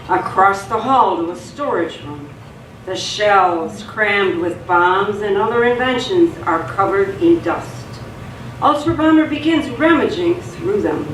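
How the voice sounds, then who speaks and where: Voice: medium pitch, expressive, unhurried, fairly bright tone. Radio theatre narrator: scripted dramatic read, echoey and a little distant from the mic. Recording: echoey room, distant mic, faint noise.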